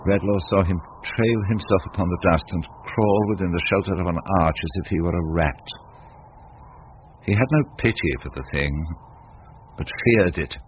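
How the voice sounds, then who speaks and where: A man narrating a scripted dramatic story in a grave, measured way. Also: deep voice. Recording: muffled noisy old radio recording.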